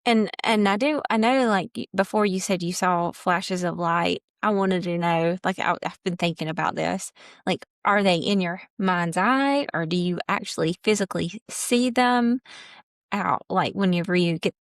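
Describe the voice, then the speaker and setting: A woman hosting a casual conversational interview, speaking haltingly and often repeating or circling back on her phrases. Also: on the low side, no room sound, studio quality. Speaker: host.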